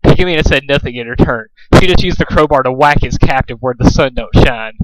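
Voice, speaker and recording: A man's voice: medium pitch, brisk, noisy background. A man narrating a story aloud as an amateur reader, sometimes stumbling over words and rereading. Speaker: amateur fan fiction reader.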